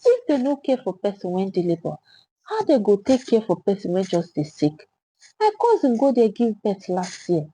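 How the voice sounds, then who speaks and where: A blunt Nigerian market woman, voiced by a woman storyteller grumbles indignantly in a story. Her voice is mellow and a little husky, with a complaining manner. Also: medium pitch, huge pitch swings, moderate pace, rough recording.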